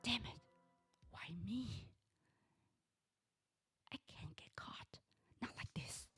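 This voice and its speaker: A woman voicing a panicked, scheming male killer in a hushed, frantic hiss. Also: fairly high pitch, lively intonation, extremely slow, husky, faint music behind, voice pushed up, exaggerated voice. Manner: frantic hissing whisper.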